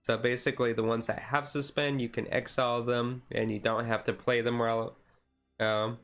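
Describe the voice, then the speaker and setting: A man streaming a casual ASMR-style card showcase, easygoing and halting as he reads out cards, his voice a little rough and taken gently. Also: medium pitch, little pitch movement, soft background music. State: voice slightly rough, being taken easy.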